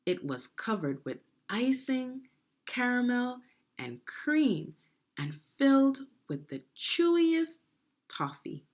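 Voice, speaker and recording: A woman reading a children's storybook aloud expressively, in a deliberate, teacherly manner. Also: on the high side, big pitch swings. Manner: deliberate, teacherly, asks the listener questions. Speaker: education consultant reading a children's book.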